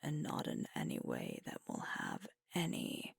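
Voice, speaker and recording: A woman playing a sweet, protective, gently firm werewolf girlfriend in an ASMR roleplay, soothing and coaxing in a breathy whisper. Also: sits low, huge pitch swings, no echo, full character voice. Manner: soothing, coaxing, reassuring, deliberate pauses.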